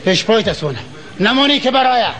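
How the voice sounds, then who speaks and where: An actor with a Kabul Afghan accent playing a bossy, greedy trickster fortune teller in a stage comedy, emphatic and repetitive. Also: male voice, very high-pitched, sing-song, very noisy. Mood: pushy. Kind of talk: broad comedy stage play acting. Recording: old stage recording with loud audience.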